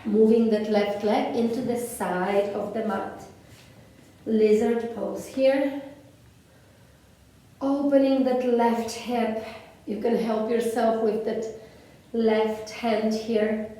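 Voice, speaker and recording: A woman with a Polish accent guiding a slow yoga class in soothing, gently paced cues with long pauses. She speaks English as a second language. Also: on the high side, steady tone. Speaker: yoga instructor. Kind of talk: guided yoga class instruction. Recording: echoey room from far off.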